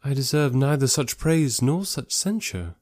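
A man's voice: mid-range voice, expressive, unhurried, a little mellow, dry recording, studio quality, voice pushed up. A man voicing a spirited, self-possessed young woman in an audiobook, candid and politely firm. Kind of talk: classic novel audiobook character voice.

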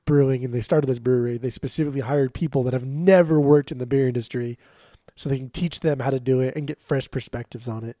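A man's voice: mid-range voice, fairly even intonation, fast, warm tone, dry recording, clean studio sound. A congested man co-hosting a podcast, chatting casually and teasing his friend. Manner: teasing, playful banter. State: congested, stuffed up. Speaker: podcast co-host. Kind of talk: casual chat.

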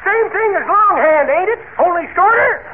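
Shrill, cocky old codger. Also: male voice, animated, moderate pace. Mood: joshing. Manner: folksy, ungrammatical, joshing. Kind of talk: 1940s radio comedy acting. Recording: noisy, tinny old radio broadcast.

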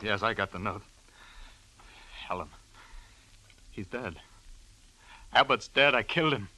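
An actor in a 1940s-style radio crime drama playing a guilt-ridden, desperate husband, agitated and halting. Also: male voice, fairly low pitch, lively intonation, background noise. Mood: shaken, panicked. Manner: agitated, stammering, halting delivery. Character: panicked, guilt-ridden husband who has just killed his employer.

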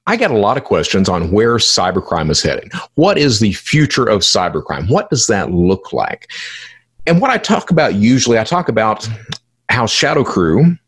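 A man with a fairly low voice that swings widely in pitch as he delivers a solo explainer. He is emphatic, circling back to repeat key phrases and asking questions that he then answers himself. His tone has a rough, husky edge. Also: big pitch swings, normal speed, fairly bright tone. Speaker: podcast host explaining cybercrime.

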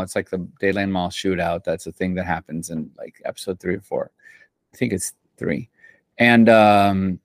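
A man's voice: on the low side, dry recording, studio quality. A man who composes for film and television, talking in a loose, rambling way, full of slang and restarts, in a casual interview. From Central America.

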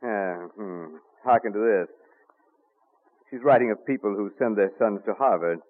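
An actor playing a stern, irascible colonial printer, pompous and blustering in archaic speech, in an old radio drama. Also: male voice, fairly low pitch, normal speed, lightly acted. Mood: pompous, amused. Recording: muffled, narrow-band old radio broadcast.

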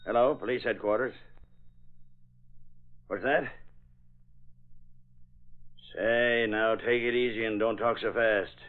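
A man acting a gruff, bossy police sergeant, brusque and commanding, in an old-time radio drama. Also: on the low side, fairly even intonation, slow pace, long pauses. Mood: urgent. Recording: tinny band-limited vintage radio recording.

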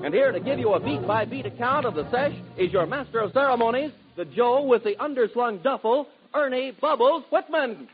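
A man's voice: very high-pitched, animated, brisk, hardly any pauses. A man announcing a 1940s radio show in slangy, showy jive introductions. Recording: tinny 1940s radio recording. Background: loud music.

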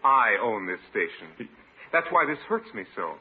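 Sarcastic young gas station owner, old radio comedy, lively highish voice, tinny sound. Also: male voice, normal speed. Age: young adult. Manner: sardonic, needling. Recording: tinny vintage radio, faint noise.

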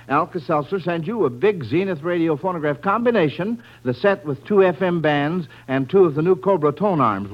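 Man, American, old-time radio quiz host, high-pitched, folksy and chatty, muffled vintage sound. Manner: folksy, chatty, addressing children and listeners as friends.

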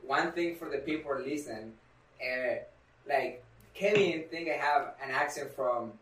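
A young man with a bright, forceful voice talks loosely and casually, full of fillers and slips of grammar. He sits a little back from the mic, and the room around him rings with echo. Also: medium pitch, a little slow, slight background noise. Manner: loose, filler-heavy speech with non-native grammar. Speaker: podcast co-host. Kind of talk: casual chat. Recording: echoey room, far from mic.

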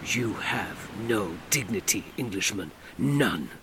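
A man's voice: on the low side, animated, slow pace, fairly bright tone, a little hiss or hum, full character voice. A man voicing a middle-aged Creole military veteran, loyal and violent, who spits menacing, contemptuous threats.

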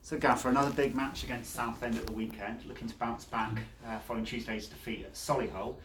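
Male interviewer, rapid delivery, distant roomy pickup with slight ambient noise. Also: medium pitch, steady tone, fairly bright tone. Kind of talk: press interview question. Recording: distant, echoey, faint background noise.

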